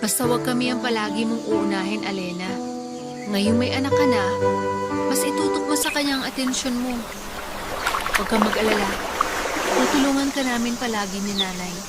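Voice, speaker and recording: A woman's voice: fairly high pitch, moderate pace, pauses often, natural voice. A woman playing a caring eldest sister. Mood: reassuring. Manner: gentle, reassuring. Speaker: eldest daughter. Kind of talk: radio drama acting. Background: music.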